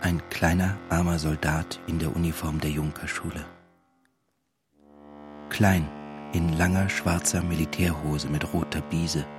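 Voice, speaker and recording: A man's voice: rumbling low, level tone, unhurried, over music. An actor playing a brooding, disillusioned younger man, wary and reflective, in radio drama monologue and dialogue. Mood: brooding, bitter.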